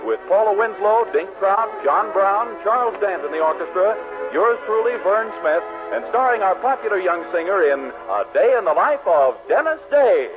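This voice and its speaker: A man's voice: sits high, animated, moderate pace, loud music behind the voice. An American man announcing in the style of 1940s network radio. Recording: tinny 1940s radio broadcast.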